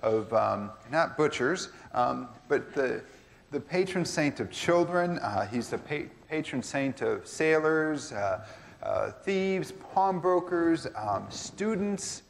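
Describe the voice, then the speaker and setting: A man's voice: fairly high pitch, expressive, takes it slowly, vocal fry, slight background noise. Man telling a legend loosely in a church skit; mid voice, reverberant hall. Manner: rambling, many fillers. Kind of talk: casual storytelling. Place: church sanctuary.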